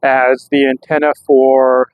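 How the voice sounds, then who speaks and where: A man's voice: mid-range voice, level tone, slow, noisy background. An American man talking casually and off the cuff as a vlogger testing gear, rambling and thinking aloud. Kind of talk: casual unscripted vlog narration. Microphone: clip-on microphone.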